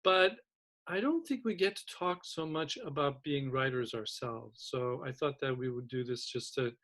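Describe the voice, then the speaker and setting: A man's voice: mid-range voice, moderate pace, fairly bright tone. A man raised in Turkey, hosting a casual conversation among writing teachers, speaking thoughtfully and stopping often to restart. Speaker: host of faculty round table.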